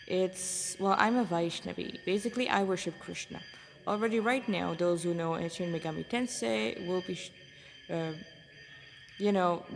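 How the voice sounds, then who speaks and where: A woman reading her own fan fiction aloud in an informal, amateur way, as its writer. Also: lowish voice. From Montreal, Canada. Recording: echoey room with long reverb.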